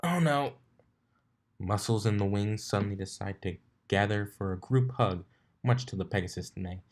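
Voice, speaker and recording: A man's voice: lowish voice, fairly even intonation, fairly quick, a little mellow. A man narrating a story expressively, sometimes stumbling over a line and reading it again. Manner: stumbles over lines and rereads them. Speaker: fan fiction reader.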